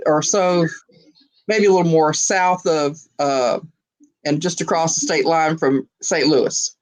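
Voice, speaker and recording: A woman's voice: low-pitched, a little mellow, poor audio. A woman from Texas hosting a casual video call chat, telling stories and laughing often. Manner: chatty storyteller, laughs often.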